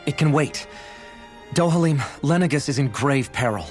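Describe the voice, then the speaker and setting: Man playing a brisk, worried technician. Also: a little high, lively intonation, faint music behind, natural voice. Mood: urgent. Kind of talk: video game voice acting.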